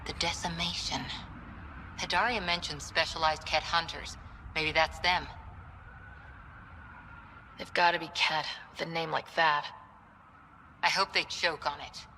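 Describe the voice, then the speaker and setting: A woman's voice: deep voice, fairly even intonation, unhurried, long pauses, in a large hall, a little hiss or hum, full character voice, poor audio. A woman playing a determined, wry young space explorer leading her squad in a video game. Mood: resolute. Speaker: player character, team leader. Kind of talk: video game cutscene acting. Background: loud game music.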